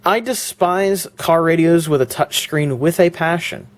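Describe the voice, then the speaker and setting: A man's voice: fairly high pitch, a little slow. A man in a casual vlog, talking through his car stereo with loose, rambling speech full of fillers and restarts. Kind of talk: casual product walkthrough vlog. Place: inside a parked car; indoors before going to the car.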